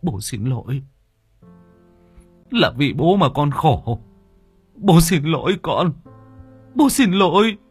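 A man's voice: on the high side, animated, takes it slowly, pauses often, husky, soft background music, voice pushed up, full character voice. A man voicing a hot-tempered young village father, who rages and then turns tender. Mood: remorseful. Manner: shouting curses, then tearful tenderness.

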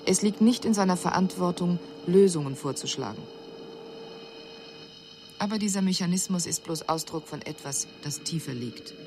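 An actress playing a female alien emissary, giving a measured, formal and precise report in a radio drama. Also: on the low side, animated, darkish tone, slight background noise, natural voice. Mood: cool, concerned. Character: female alien emissary, cool observer puzzled by human inaction.

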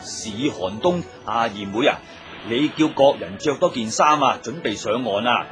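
A mid-pitched, hearty boatman, played by a man from a Cantonese radio play. He talks in a bluff, practical, everyday way with music clearly underneath. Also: expressive, moderate pace, natural voice. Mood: brisk, helpful. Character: hearty, practical brother-in-law, former boatman. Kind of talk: Cantonese radio drama acting.

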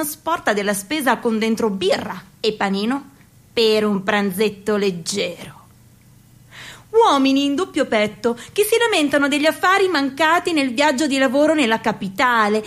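A young woman with a high voice performs a theatrical radio monologue. She swings between soft, near-whispered lines and loud, declaimed ones. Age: young adult.

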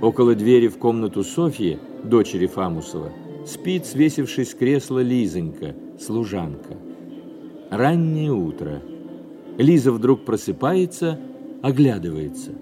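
A man's voice: medium pitch, sing-song, a little slow. A man reading scripted scene-setting stage directions as the narrator of a radio play. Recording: music behind the narration.

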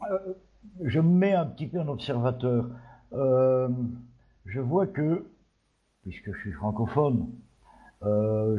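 A man's voice: mid-range voice, muffled recording. A man from Belgium giving his opinions in a panel discussion, hesitant with many fillers. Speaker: panel guest.

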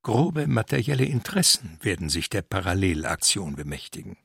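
Deep, gravelly sober sceptic. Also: male voice, sing-song, a little fast, fairly bright tone, no echo, clean studio sound, exaggerated voice. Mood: grave warning. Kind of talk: radio play acting.

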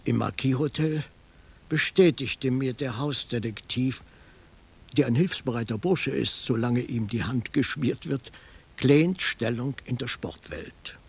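Gravelly, husky detective narrator. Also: male voice, mid-range voice, measured pace. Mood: dry, matter-of-fact. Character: dry, observant hard-boiled male detective telling his case. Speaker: first-person narrator. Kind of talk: scripted crime radio play narration.

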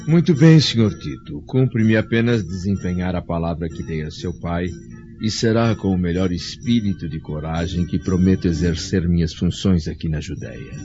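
A man's voice: sits low, lively intonation, moderate pace, dark tone. A man acting as a dignified, dutiful Roman senator with a conscience, formal and theatrical, in a radio drama. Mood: resolute, courteous. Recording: muffled recording with music behind.

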